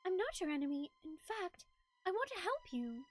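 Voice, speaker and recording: A woman as a gentle young lady, high-pitched, soft-spoken, sincere, game heroine. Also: big pitch swings, normal speed, soft background music. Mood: earnest. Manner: soft, near-whispered, sincere.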